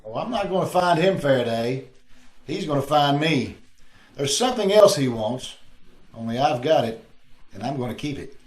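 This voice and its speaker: A man's voice: mid-range voice, lively intonation, normal speed, pauses often, a little hiss or hum, lightly acted. A man playing a glib, wisecracking amateur sleuth in the style of 1940s radio detective drama, over a video call. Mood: cool, assured. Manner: glib, bantering. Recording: roomy, echoing video-call audio.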